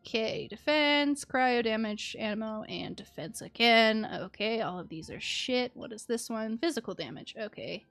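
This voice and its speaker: A woman's voice: a little high, lively intonation, moderate pace, few pauses, a bit bright. A woman streamer chatting casually and thinking aloud to herself as she plays a game. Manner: chatty, muttering to herself while reading. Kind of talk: casual live gameplay chat, thinking aloud. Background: music.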